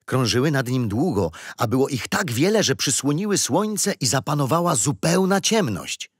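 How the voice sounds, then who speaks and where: A man's voice: mid-range voice, sing-song, normal speed, husky, no room sound, studio quality. An actor playing a kindly older grandfather who patiently tells stories and history in a children's radio play. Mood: storytelling. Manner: patient, playful teasing. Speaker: storyteller grandfather.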